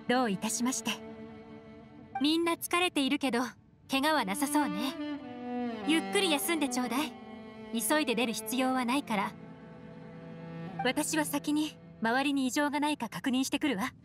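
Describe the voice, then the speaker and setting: A woman playing a poised, self-possessed female agent in an anime game, composed and measured, with feminine phrasing. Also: sits high, music in the background, lightly acted. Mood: calm, reassuring.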